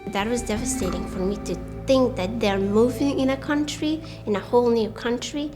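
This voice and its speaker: A woman's voice: a little high, normal speed. A young woman from Afghanistan with an Afghan accent, speaking earnestly and spontaneously as a student being interviewed. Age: young adult. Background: music.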